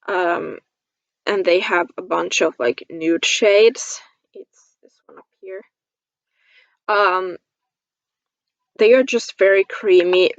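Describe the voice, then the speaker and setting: Woman, beauty vlogger, casual chat, gushing and giggly, slow pace, dry and slightly muffled recording. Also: medium pitch, no room sound. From Finland. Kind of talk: casual product review chat to camera.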